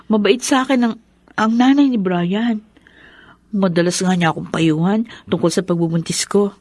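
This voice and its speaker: A woman's voice: medium pitch, normal speed, a little mellow, no echo, natural voice. An actress playing a meek, apologetic young pregnant woman with a Filipino accent, eager to please, in a radio drama. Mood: casual, guarded.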